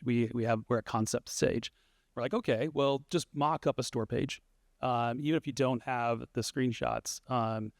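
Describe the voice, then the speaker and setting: A man's voice: mid-range voice, dry recording, clean studio sound. A man on a conference panel answering an audience question in a loose, conversational way, with many fillers and restarts. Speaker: panelist, studio founder.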